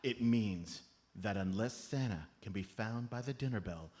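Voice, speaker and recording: A man's voice: on the low side, normal speed, darkish tone, close to an everyday voice. A man playing a tiny, solemn elf foreman with a long-winded official manner in a live comedy radio play. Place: live on stage at a comedy club.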